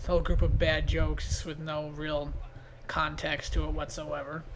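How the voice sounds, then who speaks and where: A man's voice: fairly high pitch, little pitch movement, unhurried, creaky, over music. A man giving casual, off-the-cuff video commentary in a hesitant, rambling, self-deprecating way. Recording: noisy amateur home recording.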